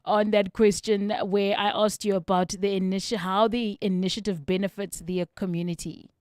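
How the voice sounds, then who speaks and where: A woman's voice: medium pitch, steady tone, moderate pace, a little mellow, clean tone, no room sound, clean studio sound. A woman hosting a radio talk show, upbeat and chatty with her guest and listeners. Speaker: radio host. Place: radio studio.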